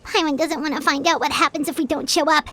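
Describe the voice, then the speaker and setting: A woman's voice: steady tone, quick pace, bright, forceful tone. A woman voicing a tiny floating childlike companion in video game dialogue. The character is chatty and easily alarmed, and it speaks of itself in the third person. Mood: worried. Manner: squeaky, refers to herself in the third person.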